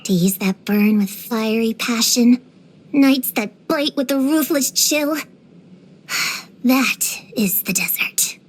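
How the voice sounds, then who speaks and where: High-voiced, prim young girl witch hunter. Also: slow pace. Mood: solemn, theatrical. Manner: precocious, prim, slightly dramatic. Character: earnest, bookish young girl witch hunter, proud and stubborn. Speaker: video game character. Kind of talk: scripted game voice lines.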